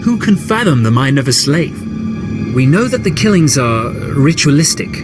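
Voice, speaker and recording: A man's voice: on the high side, big pitch swings, moderate pace, music in the background. A man voicing a disdainful imperial officer in a video game, deferential as he briefs his lord. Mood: contemptuous, concerned. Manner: contemptuous, briefing a superior.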